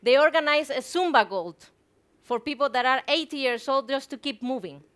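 A woman's voice: sits high, moderate pace, a bit bright. A woman in her thirties from Guatemala, a native Spanish speaker with a Guatemalan accent, giving a prepared talk on stage into a headset mic. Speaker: conference speaker. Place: stage in a theatre hall.

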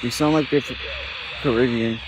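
A man's voice: mid-range voice, fairly even intonation, normal speed, very noisy. A man giving casual, off-the-cuff commentary as a radio hobbyist, thinking aloud in a tentative way.

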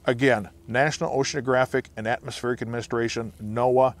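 A man's voice: mid-range voice, fairly even intonation, a little fast. An American man in his sixties hosting an informal outdoor explainer, talking to camera into a lapel mic in the woods. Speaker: outdoor preparedness video host.

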